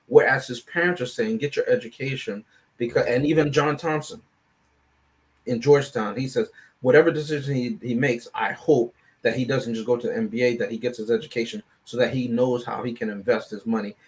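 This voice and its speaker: A man's voice: mid-range voice, steady tone, fairly quick. Discursive man talking through a film review. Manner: discursive, often checks with "right?". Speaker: panelist on a film review show. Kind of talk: casual analytical discussion.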